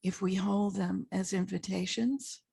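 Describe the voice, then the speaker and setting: A woman leading a guided meditation as a spiritual teacher, speaking in short, measured phrases with long pauses and a husky, gravelly voice. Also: medium pitch, steady tone, dark tone, no echo.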